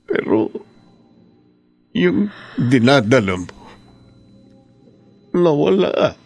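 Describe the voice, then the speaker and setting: An actor playing a grieving, devout older father in a Filipino radio drama, speaking in a sorrowful, halting way with gentle reproach. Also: medium pitch, sing-song, gravelly, heavily acted. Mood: sad, gentle. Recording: radio drama with music underneath.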